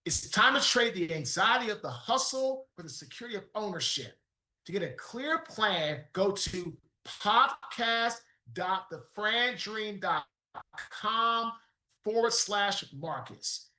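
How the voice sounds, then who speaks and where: A man's voice: high voice, lively intonation, takes it slowly, pushed and bright, echoey room. A man hosting a podcast interview, talking in an emphatic, motivational way. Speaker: podcast host.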